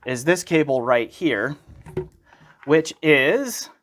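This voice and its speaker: A man's voice: on the high side, animated, takes it slowly, fairly bright tone. A man giving a casual, unscripted product review, talking conversationally and thinking aloud as he corrects himself. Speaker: gear reviewer and channel host.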